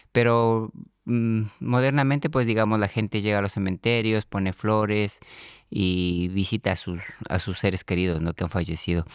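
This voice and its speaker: Middle aged guy being interviewed, clean smooth voice on the low side, halting. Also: no echo, clean studio sound. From Ecuador. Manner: hesitant, searching for words. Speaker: interview guest. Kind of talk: unscripted interview answers.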